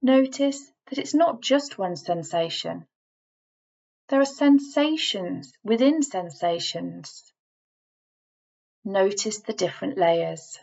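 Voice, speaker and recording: A woman reading a scripted guided relaxation in a measured, instructing manner, leaving deliberate pauses between phrases. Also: mid-range voice, animated, vocal fry, a little mellow, highs cut off. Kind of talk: scripted guided meditation voiceover.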